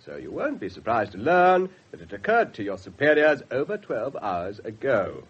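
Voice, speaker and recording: An actor with an English accent playing a brisk, sarcastic, overworked police detective inspector in a radio drama, curt in manner. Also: male voice, medium pitch, very animated, breathy edge. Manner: sardonic, curt. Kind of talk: 1970s radio drama acting. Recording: slightly muffled 1970s radio broadcast.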